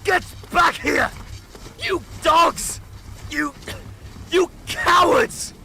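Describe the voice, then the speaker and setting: A fierce, defiant knight captain in a fantasy audio drama. His voice is very high for a man, with a gravelly edge, delivered in slow, commanding oratory over music. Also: bright, forceful tone, slight background noise, full character voice. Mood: angry, barking orders. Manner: commanding, declamatory battlefield oratory.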